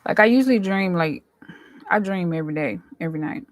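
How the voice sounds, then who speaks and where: A woman with a fairly low voice hosts a casual chat for her viewers. She speaks informally and colloquially, like someone telling stories. The sound is dry, with very little room in it. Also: animated, a little fast, no room sound. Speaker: host, dream interpreter.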